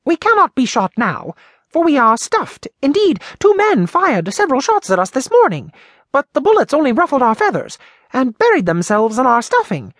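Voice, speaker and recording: A man reading aloud and voicing a scornful, angry stuffed blue jay, in a high put-on voice. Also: wildly swooping pitch, moderate pace, a bit bright, dry recording, clean studio sound, heavily acted.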